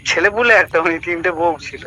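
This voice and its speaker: A man's voice: sits high, animated, fast, sharp tone. A man chatting casually on a patchy phone call with heavy noise. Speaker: prank call target. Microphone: phone line on speaker.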